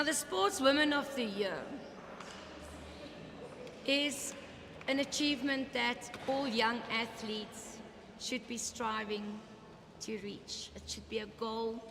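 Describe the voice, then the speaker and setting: A hoarse woman presenting awards in a formal, emphatic, measured speech at a dinner. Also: on the high side, a bit bright, a little hiss or hum. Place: indoor banquet hall. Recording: echoey hall with background chatter.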